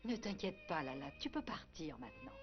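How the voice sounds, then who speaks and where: A woman's voice: fairly low pitch, sing-song, fairly quick. A woman voicing a firm, protective mother wolf in a dubbed cartoon. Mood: reassuring. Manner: firm, maternal. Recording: muffled cartoon soundtrack with soft music.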